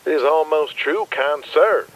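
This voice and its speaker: An actor playing a cocky, flamboyant wrestler in an audio drama, with a showy, teasing, mock-courtly manner. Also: male voice, on the high side, unhurried, a bit bright, slight background noise, rough recording. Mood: sly, playful. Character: cocky, flamboyant Southern wrestler, a crude smooth talker.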